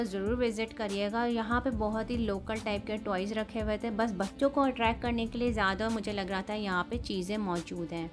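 A woman in her thirties with a Pakistani Urdu accent, talking casually in a shopping haul vlog and frankly judging prices and quality item by item. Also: a little high. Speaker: vlogger. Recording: voice-over with loud background music. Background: music.

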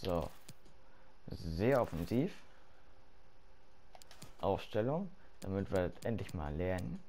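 A hoarse man talking through his game setup in casual commentary, swearing freely and thinking aloud with fillers, late at night. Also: deep voice, takes it slowly, slight background noise. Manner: loud and excited at the start, quieter later. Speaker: gaming YouTuber. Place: home room at night.

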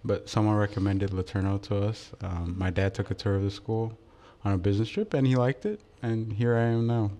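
Low-voiced young man from Ethiopia. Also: a little fast, hardly any pauses. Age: young adult. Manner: relaxed, explanatory. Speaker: student guest. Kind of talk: casual interview answers.

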